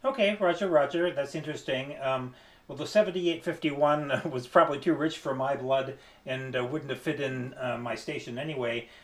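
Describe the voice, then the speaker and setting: A man talking casually as an amateur radio operator, with hesitant, halting phrasing. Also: medium pitch. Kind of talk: casual ham radio conversation. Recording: echoey, roomy sound.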